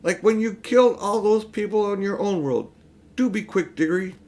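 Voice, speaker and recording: Man playing a bossy, high-pitched little girl. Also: normal speed, natural voice. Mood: spiteful, impatient. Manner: sharp-tongued, commanding. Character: bossy, spiteful, impatient young girl.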